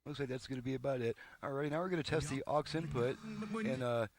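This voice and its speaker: A man's voice: mid-range voice, fast, vocal fry. A man with a mid-range voice talks through a hands-on test like a repairman at work. He explains each step casually, in plain-spoken, folksy terms. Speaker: car radio repairman and presenter.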